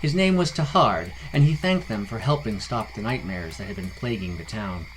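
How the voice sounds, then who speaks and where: A man reads scripted fantasy narration. He is the storyteller of a podcast. A clear layer of noise runs behind his voice. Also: on the low side, expressive, moderate pace, poor audio.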